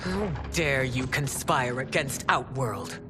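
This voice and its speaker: An actress playing a proud, imperious empress in a video game cutscene, speaking in a regal, commanding and haughty way. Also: female voice, rumbling low, slow pace, over music. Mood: angry. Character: proud, imperious woman empress ruling a realm. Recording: loud orchestral score underneath.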